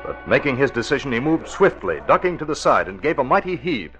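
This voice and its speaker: A man narrating a 1940s radio drama as its announcer, in a dramatic, measured scripted delivery. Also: mid-range voice, very animated, fairly bright tone, faint music behind.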